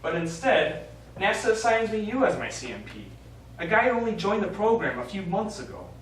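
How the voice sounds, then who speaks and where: A man's voice: high-pitched, sing-song, a little fast, fairly bright tone, very echoey. Guy in a play as blunt astronaut commander, voice goes up and down a lot, distant mic, faint noise. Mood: blunt, challenging. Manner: frank, probing. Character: blunt male space mission commander questioning his crewman. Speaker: stage actor in a short play. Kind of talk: live stage play dialogue. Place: small theater studio, recorded from audience distance.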